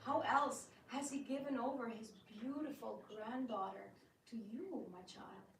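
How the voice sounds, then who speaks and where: A woman playing a sweet, anxious peacemaking queen, gentle and effusive, in a theatrical reading. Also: fairly high pitch, measured pace, creaky. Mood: reassuring. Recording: echoey room, distant mic, background noise.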